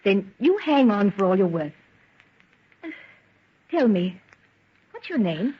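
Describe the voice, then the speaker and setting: An actress playing a kindly, genteel young woman, gentle and coaxing, in a vintage radio drama. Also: mid-range voice, very animated, slow pace, a little hiss or hum. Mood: tender, soothing. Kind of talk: golden age radio drama acting. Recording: dull, muffled vintage radio recording.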